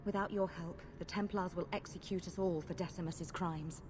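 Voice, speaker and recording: A woman's voice: lowish voice, steady tone, moderate pace, in a large hall, background noise, natural voice. An actress playing a proud, impassioned rebel mage woman in a fantasy video game, earnest and persuasive. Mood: urgent pleading. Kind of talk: dramatic video game acting.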